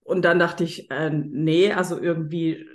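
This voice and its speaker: A woman with a slightly low voice who muses aloud in a group. She talks in an easygoing, reflective way. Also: a little slow. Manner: relaxed and reflective, quoting her own thoughts. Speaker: participant. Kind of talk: casual group sharing.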